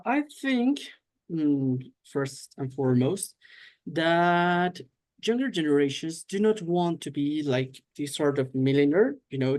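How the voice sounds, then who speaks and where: A man's voice: a little high, darkish tone. A young man from Mexico, a student answering oral exam questions in English with hesitant fillers.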